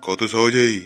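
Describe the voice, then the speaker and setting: A man voicing a vengeful male ghost in an older man's body, with drawn-out, menacing threats, for a comic horror cartoon. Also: mid-range voice, a bit bright.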